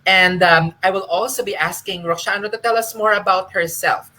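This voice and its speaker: A woman's voice: mid-range voice, moderate pace, pushed and bright. A woman moderating a live online talk, earnest and careful, with many small fillers and restarts.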